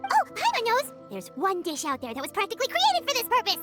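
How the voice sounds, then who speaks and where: A woman voicing a tiny, childlike, excitable fairy companion in a video game, chirpy and given to exclaiming. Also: very high-pitched, quick pace, loud music behind the voice, heavily acted. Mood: excited. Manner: speaks of herself in third person, exclaiming.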